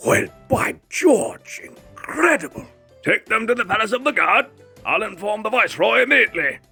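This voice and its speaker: A blustering captain of the guard in a comic fan dub. The voice is gravelly and very animated, full of pompous, theatrical exclamations, with faint music behind it. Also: medium pitch, normal speed, heavily acted. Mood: gloating, amazed. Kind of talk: fan dub character acting.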